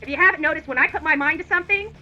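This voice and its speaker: A woman's voice: sits high, racing, lo-fi sound, faint music behind. A woman livestream host delivering an indignant, opinionated rant in a combative, emphatic and repetitive manner.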